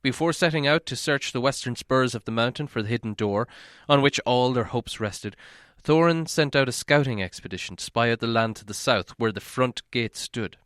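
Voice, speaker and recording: Male audiobook reader, medium pitch, smooth and clear, measured dramatic storytelling, dry sound. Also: a bit bright, no room sound. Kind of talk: scripted storybook narration.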